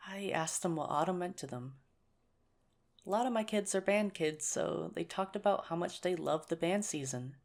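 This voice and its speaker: A woman playing a nerdy, earnest young teacher shyly courting a crush in a conversational ASMR romantic roleplay, gently self-mocking and a little hesitant. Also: on the low side.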